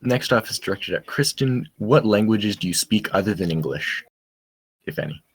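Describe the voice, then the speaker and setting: A man's voice: on the low side, expressive, moderate pace, breathy edge. A man moderating a Q&A, reading out audience questions in a plain, matter-of-fact way.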